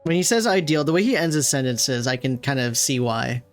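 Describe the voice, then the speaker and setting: Low voiced gamer girl doing chill reaction commentary, slangy amused asides, game music faint behind. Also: lively intonation, fairly quick. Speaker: gaming streamer. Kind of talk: casual gameplay reaction commentary.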